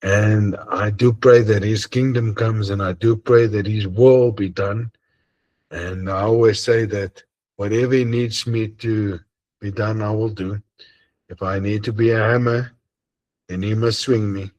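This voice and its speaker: A man with an Afrikaans accent and a deep voice, hosting an online group and chatting earnestly and hesitantly over a video call. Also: fairly even intonation, unhurried, darkish tone. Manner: hesitant, many ums and uhs, earnest. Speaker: host of an online assembly. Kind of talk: casual closing chat on a video call.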